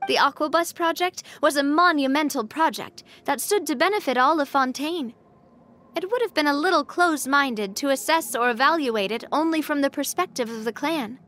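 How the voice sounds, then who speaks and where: High-voiced, earnest young woman leader. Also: normal speed. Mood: reflective. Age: young adult. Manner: earnest, expressive, hesitant when flustered. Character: young woman president of a clan, earnest, sentimental, easily embarrassed. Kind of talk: video game voice acting. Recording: music behind the voice.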